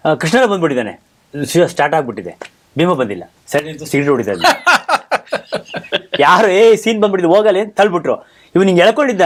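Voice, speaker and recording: A man hosting a casual chat, telling anecdotes in an animated way and acting out the quoted speech. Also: fairly high pitch, moderate pace, pauses often. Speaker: talk show host.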